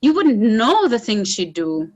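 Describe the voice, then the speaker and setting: A woman's voice: a little high, sing-song, a little slow, a little mellow, dull audio. A woman playing a capable, scolding young peasant daughter in a stage play, earnest. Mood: urgent, concerned. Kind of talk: stage drama read as virtual play.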